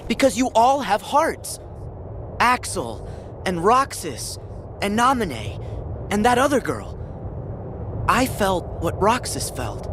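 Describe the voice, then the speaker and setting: A man's voice: high voice, very animated, takes it slowly, long pauses, noisy background, exaggerated voice. An actor playing an earnest, stubborn teenage boy hero, plainspoken and defiant, in a video game cutscene. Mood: earnest, heartfelt. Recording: game soundtrack music behind the voice.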